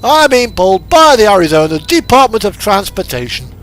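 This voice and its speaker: A man's voice: sits high, big pitch swings, fairly quick, fairly bright tone. A man giving casual, rambling commentary over a driving game, musing and thinking aloud as he goes. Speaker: video game streamer narrating a truck simulator drive. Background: music and vehicle engine sound.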